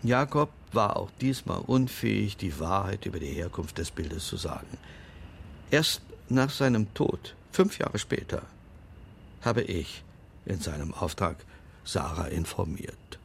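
An actor playing a formal, discreet older man in a radio drama, speaking in measured phrases with a gravelly voice. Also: sits low, animated, slight background noise, exaggerated voice. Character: formal, discreet older male confidant recounting family secrets.

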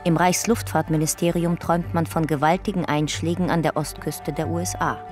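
A woman narrating a radio drama in a measured, clipped documentary style. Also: low voice, fairly even intonation, natural voice. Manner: clipped, measured, reporting in fragments. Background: music.

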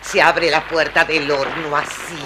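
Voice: medium pitch, little pitch movement, measured pace, very noisy, lightly acted. An actor voicing a wicked, bossy old witch who eats children, with a scolding, theatrical delivery for a children's storybook record.

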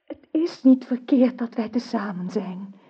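An actress playing a lovesick, yearning young noblewoman with a breathy, hushed voice in a radio drama. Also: on the high side, expressive, measured pace, heavily acted. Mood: tender, yearning. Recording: dull lo-fi old radio recording.

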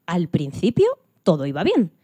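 A woman playing an excitable farm hen astronaut who tells her own story with playful dramatic emphasis in a children's radio play. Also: lowish voice, moderate pace, breathy edge, no echo, full character voice. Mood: excited, dramatic. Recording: music behind the voice.

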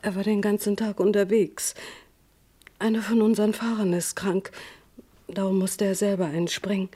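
An actress playing a subdued, defensive widow under police questioning in a radio crime drama, speaking haltingly. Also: female voice, mid-range voice, level tone, mellow, breathy edge, close to an everyday voice. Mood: subdued, uneasy.

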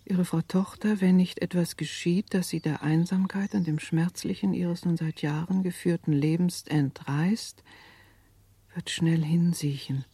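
Old family doctor: mellow, husky, deferential, earnest letter reading in radio drama, dry sound. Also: male voice, on the high side, fairly even intonation, unhurried, dry recording. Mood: grave concern. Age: older. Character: elderly male family doctor, courteous, earnestly concerned. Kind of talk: radio play, formal letter read aloud.

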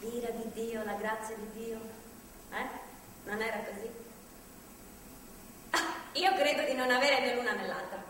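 Echoey, high-voiced chatty woman. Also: unhurried, pauses often, fairly bright tone. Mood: subdued, troubled. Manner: chatty, rambling, hesitant asides. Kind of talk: live stage acting, monologue-like chatter. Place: theatre stage, picked up from far off. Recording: distant hall recording, echoey, faint noise.

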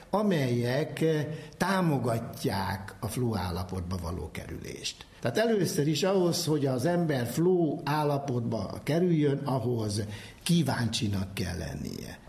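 A man's voice: mid-range voice, darkish tone. A man who explains research as an academic expert, methodically and phrase by phrase. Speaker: psychology professor, researcher.

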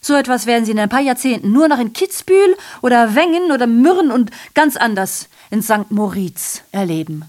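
A woman's voice: on the high side, natural voice. Actress as a sardonic messenger woman in radio drama. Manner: rhetorical questioning, ironic asides. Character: sardonic female messenger reporting on war and ruin. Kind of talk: literary radio drama monologue.

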